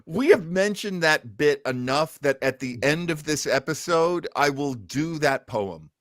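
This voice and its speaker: A man's voice: on the high side, expressive, a little slow, no echo, clean studio sound. A man hosting a show, chatting casually. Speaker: show host. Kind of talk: casual talk-show chat.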